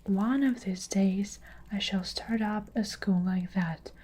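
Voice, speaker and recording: A woman's voice: mid-range voice, slow pace, a little mellow. A woman whispering the lines of a furious, child-hating giant of a headmistress who snarls insults. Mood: scornful.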